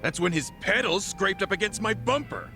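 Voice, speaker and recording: A man's voice: sits high, expressive, normal speed, gravelly. An actor voicing a pushy, condescending male swindler in a video game, brusque and blustering. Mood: arrogant, demanding. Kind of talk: video game cutscene dialogue. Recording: music playing behind dialogue.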